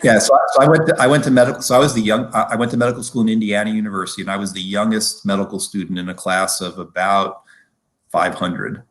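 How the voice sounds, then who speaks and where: Low-pitched middle-aged man, casual storyteller. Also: steady tone, quick pace, fairly bright tone. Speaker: physician-scientist guest. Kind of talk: casual personal storytelling in conversation.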